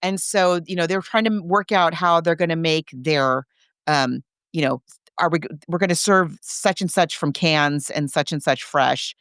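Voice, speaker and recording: A woman co-hosting a casual book discussion, recapping a story in an animated, chatty way with amused asides. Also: deep voice, moderate pace, clear, resonant tone, no echo, studio quality. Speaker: podcast co-host.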